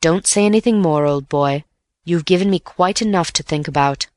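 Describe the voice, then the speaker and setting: A woman reading an audiobook voices a calm, thoughtful young man reasoning through a case. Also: sits low, normal speed, no room sound, studio quality, close to an everyday voice. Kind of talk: audiobook character voice.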